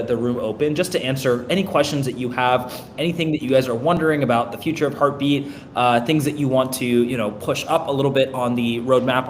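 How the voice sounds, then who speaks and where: A man hosting a live product town hall in a loose, chatty way, getting over a cold. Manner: loose and chatty, many fillers and restarts. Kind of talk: casual webinar presentation. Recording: echoey room with faint rumble.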